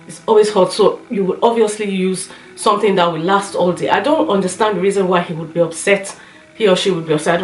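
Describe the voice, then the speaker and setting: Woman, lower-pitched, slightly hoarse, casual opinion vlog, roomy echo. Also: a little hiss or hum. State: slightly hoarse, recovering from a cough. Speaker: YouTube lifestyle and beauty vlogger. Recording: roomy echo, light music underneath.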